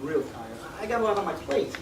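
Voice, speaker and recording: A man playing a weary, nostalgic man in his fifties, speaking deliberately and reflectively in a theatrical stage performance. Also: on the high side, big pitch swings. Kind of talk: theatrical stage monologue and dialogue. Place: small indoor theatre stage. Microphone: far camera. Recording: echoey theatre stage, distant noisy camera mic.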